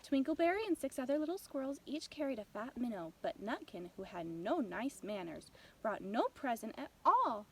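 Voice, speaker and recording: A woman reading a children's bedtime story as an expressive narrator. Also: on the high side, moderate pace, slight background noise, low-quality recording.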